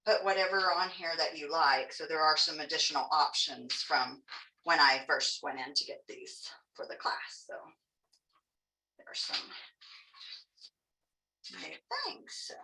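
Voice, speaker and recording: A woman's voice: fairly low pitch, bright, forceful tone, roomy sound, far from the mic, rough recording. Chatty Texan woman teaching a craft class. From Texas. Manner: chatty, folksy, self-joking. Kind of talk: live online craft demonstration.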